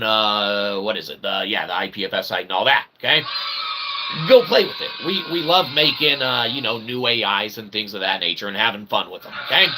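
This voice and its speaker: Forceful, bright-voiced man rambling on. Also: on the low side, husky, poor audio. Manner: wordy pseudo-technical talk with repeated tag questions. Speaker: channel host. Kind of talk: rambling promotional monologue.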